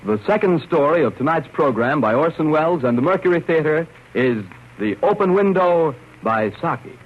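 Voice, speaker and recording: A man's voice: fairly high pitch, expressive, moderate pace. A man announcing a program in 1930s radio style. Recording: muffled, noisy old radio broadcast.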